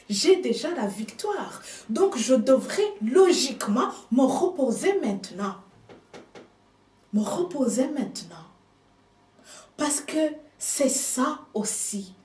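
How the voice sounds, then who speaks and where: A woman whose first language is not French, preaching a sermon with slow, emphatic delivery and hushed asides. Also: fairly high pitch, recorded from a distance. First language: another language than French. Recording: echoey room, speaker off mic.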